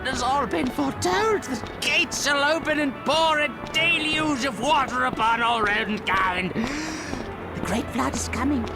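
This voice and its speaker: A crazed prophet creature in a cartoon game. The voice is high, creaky and slowly drawn out, ominous and manic, over music. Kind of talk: animated game cutscene acting. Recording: loud music behind the voice.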